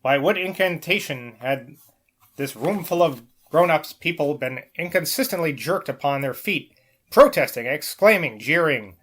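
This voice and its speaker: A man's voice: on the high side, expressive, measured pace, a bit bright. A man narrating a novel as an amateur audiobook reader, with the occasional small stumble over the text. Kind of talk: scripted literary narration, novel read aloud.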